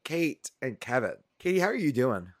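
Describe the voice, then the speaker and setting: A man with a froggy, hoarse voice hosting a casual group podcast, joking and self-deprecating. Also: medium pitch, big pitch swings, moderate pace, no room sound, clean studio sound. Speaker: podcast host.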